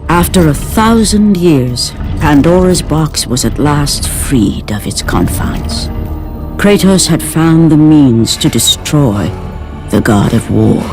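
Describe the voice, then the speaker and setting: A man's voice: on the high side, animated, slow pace, music in the background. A man narrating an epic game story in a grave, dramatic voice. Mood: solemn. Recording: game cutscene with loud score.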